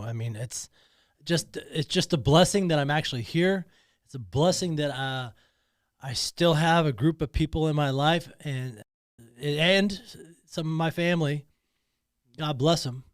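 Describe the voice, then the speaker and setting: A man's voice: a little high, no echo. A man talking alone in a podcast studio, emotional and emphatic, often repeating himself. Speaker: podcast host. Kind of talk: personal monologue.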